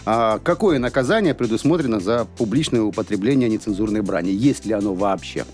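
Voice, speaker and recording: A man hosting a morning radio show, hoarse from a cold, chatting and joking in a studio. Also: fairly low pitch, a little fast, darkish tone, soft background music. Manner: jokey, chatty. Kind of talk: casual radio banter.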